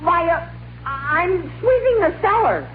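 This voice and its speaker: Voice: extremely high pitch, expressive, measured pace. A performer playing a jittery, stammering teenage boy in a 1940s radio comedy, on a tinny, hissy old broadcast. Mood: flustered. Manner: stammering, flustered, pleading.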